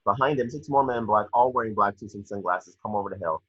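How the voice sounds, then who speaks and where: Low, muffled man narrating a script. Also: fast talker, a bit bright, husky. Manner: matter-of-fact, with stumbles over words. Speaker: narrator reading action descriptions. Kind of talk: scripted narration at a table read.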